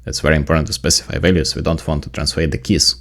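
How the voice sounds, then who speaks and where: A man with a Ukrainian accent, a native Ukrainian speaker, narrating a casual software tutorial in an explanatory way, stressing his key points. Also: a bass voice, level tone, very fast. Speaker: tech video creator.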